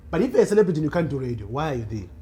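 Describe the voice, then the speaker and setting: A young man with a South African accent, a radio producer chatting casually as a podcast guest, animated and quick to laugh, often restarting his sentences. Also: on the high side. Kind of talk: casual interview chat.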